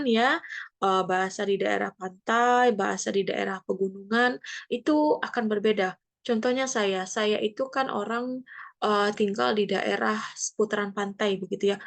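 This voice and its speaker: A woman's voice: medium pitch, fairly bright tone. Indonesian woman lecturing with many fillers. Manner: explains with many fillers and tag questions. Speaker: teacher. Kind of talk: classroom lecture. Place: near the coast.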